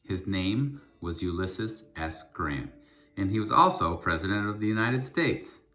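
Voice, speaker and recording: A man with a low voice reads a children's storybook aloud. His delivery is expressive and deliberate, leaning on key words with short pauses. Faint music plays behind him. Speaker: school principal reading a children's book aloud.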